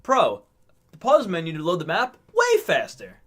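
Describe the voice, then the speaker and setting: A man's voice: high-pitched, wildly swooping pitch, moderate pace, fairly bright tone. A man co-hosting a casual gaming podcast, talking animatedly, laughing often and restarting his words. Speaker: podcast co-host. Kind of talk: casual chat.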